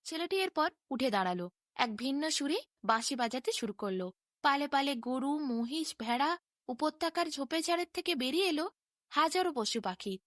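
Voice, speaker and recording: A woman storyteller reading a scripted bedtime fairy tale in an expressive, dramatic manner. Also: high voice, moderate pace, dry recording, studio quality.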